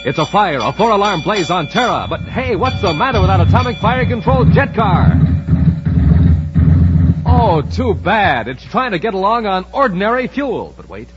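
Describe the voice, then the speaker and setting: A man announcing in 1950s radio serial style, theatrical and punchy, with an excited salesman's delivery. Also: sits high, moderate pace, over loud music. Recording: dull, hissy vintage radio recording.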